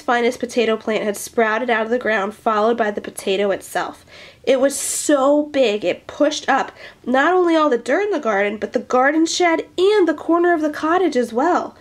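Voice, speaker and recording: A woman reading a children's picture book aloud, expressively, with animated asides to young listeners. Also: high-pitched. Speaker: storyteller.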